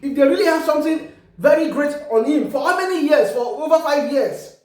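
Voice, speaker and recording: A Yoruba-accented Nigerian woman with a high voice argues her point in English, pressing hard and often stumbling over repeated words. Her talk rings around a strongly echoing room. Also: animated, a little fast, darkish tone. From Ekiti, Nigeria. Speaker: online commentator. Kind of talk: casual opinion monologue. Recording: very echoey room.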